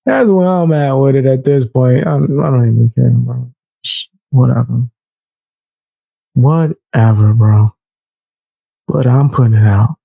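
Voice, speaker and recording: A man's voice: mid-range voice, slow, gravelly, pauses often, husky, no echo, phone-quality sound. A man hosting a casual reaction commentary, loose and laughing, often repeating himself and calling people bro. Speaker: commentary YouTuber.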